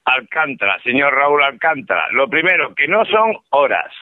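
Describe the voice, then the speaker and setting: A man's voice: medium pitch, sing-song, normal speed, over a noisy crowd. A middle-aged man with a Spanish accent talking bluntly on a phone call, often repeating himself. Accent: Spain. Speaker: prank call victim. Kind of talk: irritated phone conversation. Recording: tinny phone line.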